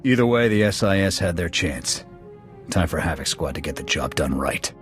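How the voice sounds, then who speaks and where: An actor playing a blunt, loyal army sniper officer with clipped military speech in a video game. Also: male voice, low-pitched, animated, moderate pace, over music. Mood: irritated.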